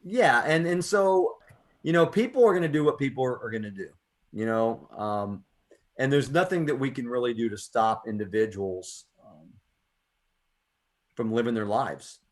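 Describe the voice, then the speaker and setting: A man's voice: mid-range voice, moderate pace, pauses often. An American man hosting a poetry radio show, talking casually and earnestly, often repeating words as he thinks aloud. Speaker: poet and radio show host. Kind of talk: casual reflective conversation.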